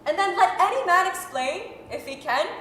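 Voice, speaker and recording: A woman narrating a radio drama as a measured, articulate, dramatic storyteller. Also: extremely high pitch, lively intonation, a bit bright. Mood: storytelling. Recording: echoey hall, faint noise.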